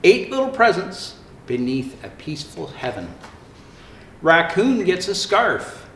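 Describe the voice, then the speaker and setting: A man plays a jolly old Santa Claus reading a children's storybook. His voice sits high and swoops with theatrical expression. He takes his time, and the room adds a clear echo. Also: sing-song, unhurried, full character voice. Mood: jolly, storytelling. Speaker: storyteller reading picture books aloud. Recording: roomy echo, faint background noise.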